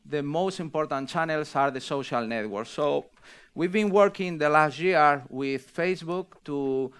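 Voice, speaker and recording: A man presenting a product launch on stage, halting and pausing with fillers. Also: a little high, a bit bright, clean tone. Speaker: executive presenter at a launch event.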